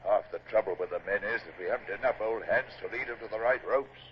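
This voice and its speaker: An actor playing a hearty, dutiful British first lieutenant in a naval radio drama, deferential and seamanlike. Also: male voice, on the low side, quick pace, natural voice. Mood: deferential concern. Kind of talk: vintage radio drama acting. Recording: dull, noisy old radio recording.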